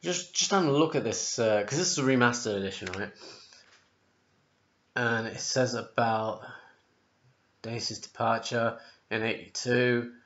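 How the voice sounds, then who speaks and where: A man's voice: medium pitch, measured pace, pauses often, a bit bright. A man in his forties with a sore throat, chatting casually and rambling to a webcam in his home kitchen, often correcting himself. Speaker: music vlogger. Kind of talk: casual album ranking vlog. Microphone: webcam at close range. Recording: dull lo-fi webcam audio.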